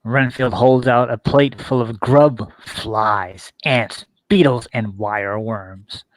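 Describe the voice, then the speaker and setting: A man's voice: medium pitch, slow, rough recording. A man reading scripted stage directions as the narrator of a play.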